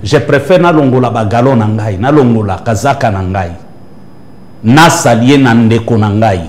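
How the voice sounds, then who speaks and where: A man's voice: medium pitch, normal speed, a little mellow. A man, a church prophet answering interview questions with an emphatic, preaching cadence. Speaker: prophet and church leader, guest.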